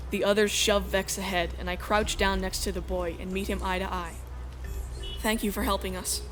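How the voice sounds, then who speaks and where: Woman reading as earnest princess heroine telling her story, medium pitch, music clearly under it. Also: little pitch movement, normal speed, fairly bright tone, close to an everyday voice. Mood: suspenseful narration. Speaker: narrator and lead character. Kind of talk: scripted first-person narration with acted dialogue.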